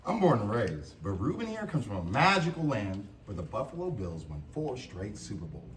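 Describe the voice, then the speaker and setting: A man's voice: fairly low pitch, big pitch swings, normal speed, far from the mic. A man playing a blunt, dim, proud blue-collar football fan in a comedy table read. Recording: noisy live room, off mic.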